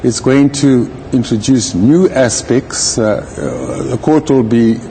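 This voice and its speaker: A man speaking as a legal commentator in an interview, with a measured, deliberate manner and formal wording. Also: medium pitch, warm tone, slight background noise, poor audio. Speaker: legal commentator, retired judge. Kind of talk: live interview commentary on a court case.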